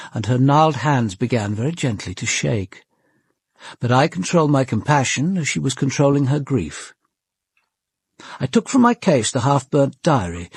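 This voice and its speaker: Husky British police detective. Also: male voice, mid-range voice, a little mellow, no echo, full character voice. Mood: reflective, composed. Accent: British English. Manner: formal, measured, precise questioning. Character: male police commander, measured, probing, reserved yet compassionate detective. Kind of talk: radio drama dialogue and first-person narration.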